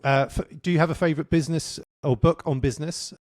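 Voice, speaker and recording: A man's voice: on the high side, steady tone, fast, darkish tone, no room sound, studio quality. A man hosting a business podcast in a casual, chatty way, with many fillers and restarts. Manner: chatty, lots of fillers and restarts, friendly asides. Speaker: podcast host.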